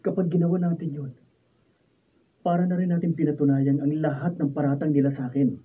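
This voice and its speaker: A man's voice: a little high, level tone, a little fast, breathy edge. An actor playing an earnest, humble, lovelorn young male student in a Filipino radio drama. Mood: firm, pleading. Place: radio drama recording studio, behind-the-scenes video. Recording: lo-fi phone-like sound, distant mic, faint noise.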